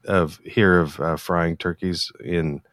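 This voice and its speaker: A man hosting a casual interview, with a halting, hesitant way of phrasing things. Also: low-pitched, steady tone, fairly bright tone, no echo, clean studio sound. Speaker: interviewer, podcast host.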